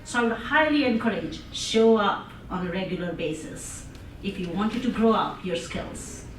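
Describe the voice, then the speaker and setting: A woman's voice: mid-range voice, lively intonation, moderate pace. A woman with an Indian accent giving an interactive speech, asking the audience questions and laughing often. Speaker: public speaker. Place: conference room with audience. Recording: echoey room, voice from far off, noisy.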